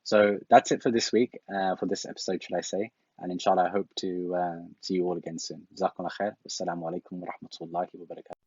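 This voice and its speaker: A man's voice: deep voice, little pitch movement, quick pace, hardly any pauses, darkish tone, lo-fi sound. A man hosting a book review series, talking casually from his home library with many fillers and restarts. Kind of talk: casual talk, reviewing books.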